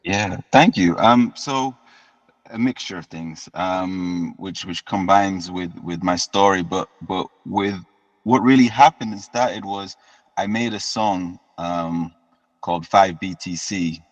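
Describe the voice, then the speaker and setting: A man, telling his story as an interview guest in a relaxed way and often repeating words as he restarts. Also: deep voice, takes it slowly. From United Kingdom. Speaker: guest musician. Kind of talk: casual interview chat. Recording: dull lo-fi sound with long reverb.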